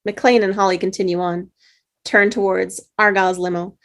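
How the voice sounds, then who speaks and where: Woman, reading action lines, table read, stumbles over words, mid-pitched voice. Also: level tone, moderate pace. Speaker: narrator, reads action lines. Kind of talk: script reading.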